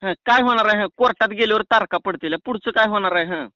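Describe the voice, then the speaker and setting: A man with a high, forceful voice and a rural Maharashtrian accent talks on a prank call. He rambles and protests cheekily as he argues. The sound is close and dry but muffled, like a lo-fi call recording. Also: fast talker, no room sound. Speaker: prank caller. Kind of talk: bantering phone argument. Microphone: phone call.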